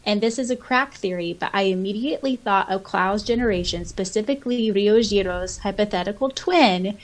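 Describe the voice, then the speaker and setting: A woman's voice: medium pitch, brisk. A woman podcast co-host who chats casually and reads out comments in an enthusiastic, giggly manner. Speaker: podcast co-host and audiobook voice actor. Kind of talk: casual podcast chat, reading out listener comments.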